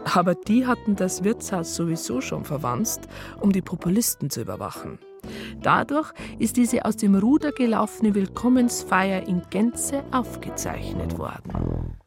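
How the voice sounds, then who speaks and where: A female police psychologist narrating a radio crime drama. Her creaky voice swings widely in pitch as she reports matter-of-factly, with plucked guitar music clearly behind her. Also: huge pitch swings, measured pace, full character voice. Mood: dry, reporting.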